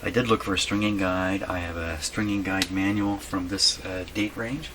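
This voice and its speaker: A man giving unscripted, halting commentary as he thinks aloud while doing hands-on repair work. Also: low-pitched, fairly even intonation. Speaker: radio repair hobbyist narrating a workshop video. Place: indoor radio repair workshop. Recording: clearly noisy workshop recording.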